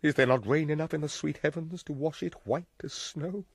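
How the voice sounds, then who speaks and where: An actor playing a scheming, guilt-ridden usurper king in a Shakespearean radio drama, grave and declamatory in a husky voice. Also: fairly high pitch, fairly quick, no room sound, clean studio sound. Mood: anguished, guilty.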